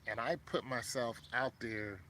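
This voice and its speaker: A man's voice: medium pitch, unhurried, pushed and bright, a little hiss or hum. A man in his fifties talking casually to a viewer, rambling with many fillers and restarts. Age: 50s. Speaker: vlogger.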